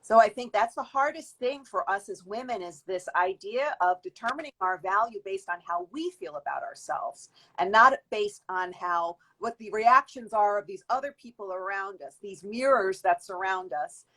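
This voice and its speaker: A woman's voice: medium pitch, moderate pace, few pauses, a bit bright, rough recording. A woman in her fifties, a guest in an interview, giving long reflective answers with frequent restarts. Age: 50s. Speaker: guest, beauty industry worker.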